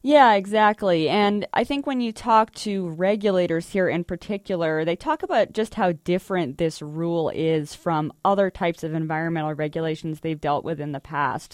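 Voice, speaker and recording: An American woman working as a reporter, with a slightly low voice. She explains things conversationally, as in a news interview, and the sound is very dry. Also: no room sound. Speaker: energy reporter.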